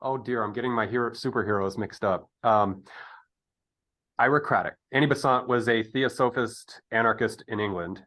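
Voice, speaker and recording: A man hosting an interview in casual conversation, digressive and halting, often correcting himself with a jocular streak. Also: mid-range voice, fairly bright tone. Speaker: podcast interview host. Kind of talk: casual interview chat with rambling history asides.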